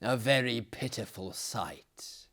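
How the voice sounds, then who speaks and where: A man voicing an anguished ghostly spectre from a gothic horror story, lamenting in a drawn-out wail. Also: mid-range voice, expressive, fairly bright tone, heavily acted.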